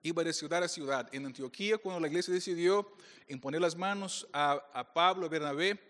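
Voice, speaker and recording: A man's voice: fairly high pitch, a bit bright. Brazilian man preaching in a hall. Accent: Brazilian Portuguese. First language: Portuguese. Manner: joking, playful with the audience. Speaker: pastor speaker. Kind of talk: conference talk. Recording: long hall reverb.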